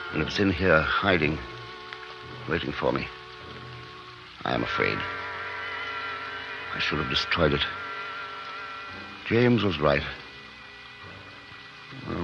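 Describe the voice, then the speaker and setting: A man's voice: extremely low pitch, creaky, full character voice. An actor playing an anguished, obsessive scientist protective of his creature, earnest and pleading in a 1950s radio drama style. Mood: fearful. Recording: muffled, noisy 1950s radio recording.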